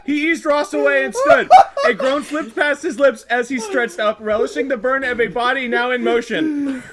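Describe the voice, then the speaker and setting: Guy reading fanfic super high pitched, mouth burning from hot sauce, bunch of rowdy people behind him. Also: expressive, moderate pace. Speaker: streamer reading fan fiction aloud. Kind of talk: dramatic story reading with exclaimed asides. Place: group livestream with others reacting. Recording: rowdy group chatter behind.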